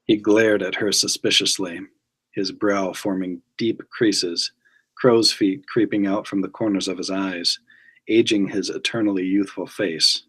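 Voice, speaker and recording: A man's voice: sits low, fairly even intonation, unhurried, a bit bright, slightly rough. A man reading scripted story narration aloud. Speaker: guest reader.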